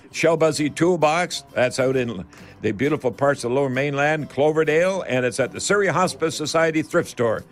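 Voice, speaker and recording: A Canadian man hosting a call-in radio show, chatting casually in a folksy, rambling, halting way. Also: mid-range voice. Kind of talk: casual live radio chat.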